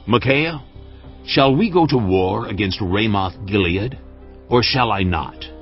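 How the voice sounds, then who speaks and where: A man's voice: lowish voice, expressive, measured pace. An actor playing a proud, resentful king in a dramatized audio Bible, with an imperious, theatrical manner. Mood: urging. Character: proud, resentful king of Israel, commanding and impatient. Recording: dull lo-fi audio over music.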